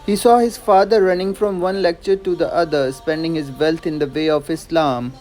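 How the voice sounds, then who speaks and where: A man's voice: fairly high pitch, fairly even intonation, normal speed, darkish tone, faint music behind. A man narrating a scripted moral story in an earnest, emphatic and dramatic way.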